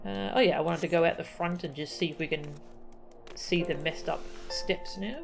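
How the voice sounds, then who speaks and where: Woman, slightly low-pitched voice, casual game livestream commentary, chatty, easily delighted, prominent music behind. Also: normal speed, creaky. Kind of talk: casual live gameplay commentary. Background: video game music.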